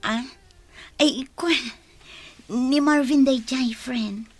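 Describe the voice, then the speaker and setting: A woman with an Ilocano accent playing a heartbroken, naive woman in love in a radio drama, plaintive and soft-hearted. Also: high voice, slow pace. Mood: defensive. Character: lovesick, heartbroken, naive woman clinging to a man.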